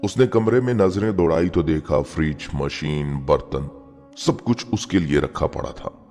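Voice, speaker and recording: Very deep-voiced male storyteller in heavy reverb. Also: expressive, unhurried, darkish tone. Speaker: narrator of moral stories. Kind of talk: scripted dramatic story narration. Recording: long reverb, music bed behind. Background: background music.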